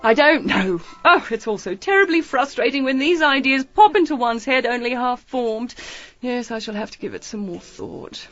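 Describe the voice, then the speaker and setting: A woman's voice: fairly high pitch, animated, moderate pace, a bit bright, dry recording. An actress playing a clever, teasing English lady detective in a radio mystery, with an arch, mock-innocent, chatty manner. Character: clever, teasing young female British detective. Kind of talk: radio mystery drama acting. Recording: slightly dull audio with music underneath.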